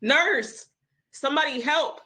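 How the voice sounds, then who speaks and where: A woman's voice: sits high, slow pace, bright, forceful tone, heavily acted. A woman playing a frightened nurse on night rounds in a drama table read. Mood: panicked.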